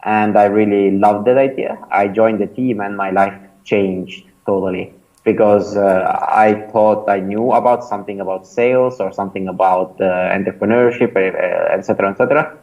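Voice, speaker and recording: A man's voice: fairly low pitch, fairly even intonation, moderate pace, darkish tone, highs cut off. A man in his thirties with an Estonian accent, whose first language is Estonian. He answers an interview casually and hesitantly, with many fillers and restarts. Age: 30s. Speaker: startup founder and mentor, interview guest.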